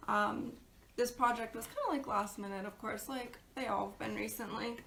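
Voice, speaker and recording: A woman's voice: on the high side, fairly even intonation, normal speed, gravelly, fairly bright tone. A woman from Pennsylvania hosting a casual live craft tutorial, chatty and rambling, losing her voice. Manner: chatty, rambling with fillers and asides. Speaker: craft video host. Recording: echoey room with background noise.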